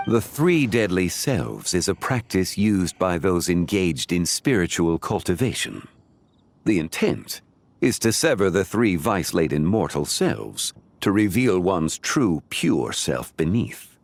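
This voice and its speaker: Deep, dignified, scholarly ancient sage. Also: male voice, very animated, dry recording, full character voice. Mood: calm, explanatory. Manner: formal, measured, explanatory. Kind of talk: video game voice acting.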